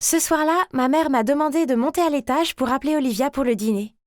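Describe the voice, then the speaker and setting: A chatty young schoolgirl acted by a woman narrates a cartoon story about her life. The voice is very high and expressive, recorded dry with no room sound. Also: fast talker, no echo, close to an everyday voice. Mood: puzzled, slighted. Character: chatty, ordinary schoolgirl, overlooked younger sister. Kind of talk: animated first-person story narration.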